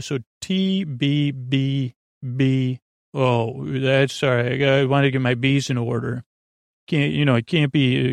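A male podcast host delivers a rambling bedtime monologue in a voice full of creak and fry. He halts, doubles back and drifts into tangents, trailing off mid-thought. The sound is very dry, with no room around it. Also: medium pitch, no echo.

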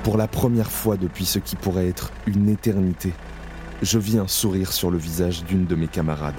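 Male narrator, very deep, grave and measured, over a music bed. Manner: slow, solemn, measured. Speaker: narrator playing Isaac, camp prisoner. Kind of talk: audio drama narration. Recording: clear music bed under voice.

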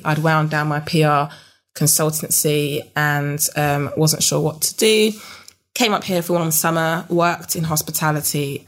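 A young woman with a London accent, a guest in a relaxed interview, talking with many ums and restarts. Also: deep voice. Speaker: interview guest, business network founder.

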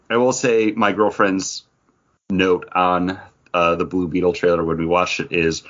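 A man hosting a casual podcast, chatting in an animated, joking way with quick asides. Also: low-pitched, lo-fi sound. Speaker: podcast host.

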